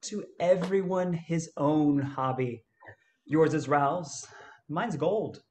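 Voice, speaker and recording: A man playing an easygoing gold-hunting adventurer in a radio play, telling his story in a halting way. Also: fairly high pitch, darkish tone, a little hiss or hum.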